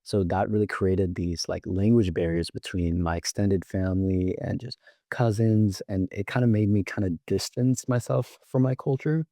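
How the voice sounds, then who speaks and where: A teenage boy with a very low voice co-hosts a school podcast in a loose, conversational way. He talks casually and leans on easy fillers. The recording is close and dry. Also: moderate pace, no room sound. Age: teens. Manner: relaxed, with frequent fillers. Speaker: student podcast co-host.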